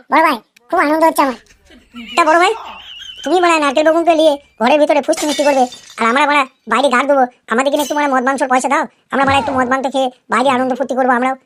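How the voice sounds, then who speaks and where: A performer playing a male gangster's sidekick from a comic cartoon. The voice is high and extremely fast, rambling through boasts and wheedling flattery in crude slang, with faint noise behind it. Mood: gleeful, wheedling. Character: foul-mouthed, fawning gangster henchman cartoon character.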